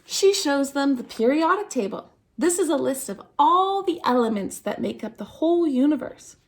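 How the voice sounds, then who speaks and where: A woman reading a children's picture book aloud as a storytime host, expressively, with theatrical, drawn-out emphasis on key words. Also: high-pitched, lively intonation. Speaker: storytime reader at a dance centre.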